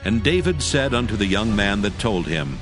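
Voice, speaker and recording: A man narrating scripture in a steady, measured storytelling manner. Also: fairly low pitch. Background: faint background music.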